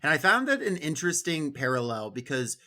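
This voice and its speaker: A man's voice: on the high side, sing-song, brisk, pushed and bright. A man talking casually about a book in a wry, colloquial way. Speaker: podcast co-host. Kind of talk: casual book discussion with read passages.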